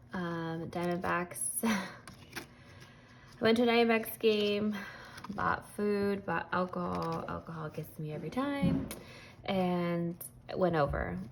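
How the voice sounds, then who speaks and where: Hoarse, gravelly woman counting cash aloud. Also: on the low side, takes it slowly. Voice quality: raspy. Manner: counts bills aloud in steady rhythm. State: hoarse from losing her voice. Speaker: budgeting vlogger. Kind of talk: casual chat while counting cash. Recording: noisy home recording.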